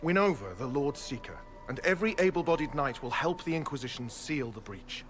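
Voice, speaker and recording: An actor playing an earnest, duty-bound Templar knight, speaking formally and gravely in a video game cutscene. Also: male voice, mid-range voice, animated, a little fast, music in the background, lightly acted.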